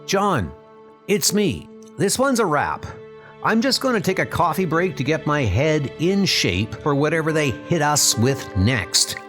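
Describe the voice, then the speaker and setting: A man's voice: fairly high pitch, sing-song, unhurried, fairly bright tone, faint music behind. A man voicing a laid-back, wisecracking superhero in a comic book dub, casual and flippant. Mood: breezy.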